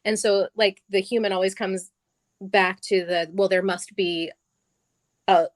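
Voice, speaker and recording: A woman's voice: medium pitch, steady tone, measured pace, no room sound, studio quality. A middle-aged woman, a guest on stage at a live event, talking casually and animatedly, laughing often and restarting her sentences.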